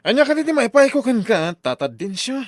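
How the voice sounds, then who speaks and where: An actor with a Filipino accent playing an abusive, hot-tempered younger man in a radio drama, loud and barking. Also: very high voice, very animated, a little fast, music in the background. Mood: domineering, pressing. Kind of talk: melodramatic radio drama acting.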